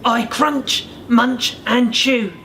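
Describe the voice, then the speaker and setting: A man's voice: very high-pitched, fairly even intonation, very slow, bright, forceful tone, exaggerated voice. A man voicing a stubborn little male monster in a storybook, with emphatic, grumbling delivery. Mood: defiant, grumbling. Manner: roaring, grumbling, emphatic chanting. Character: small blue monster, male, stubborn and roaring. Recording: faint background noise.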